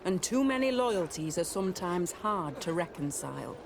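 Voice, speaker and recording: Game casting: loyal officer of the Guard, higher register, grave and earnest, clear ambient noise. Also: male voice, very animated, moderate pace, heavily acted. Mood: stern, supportive. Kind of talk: dramatic video game dialogue.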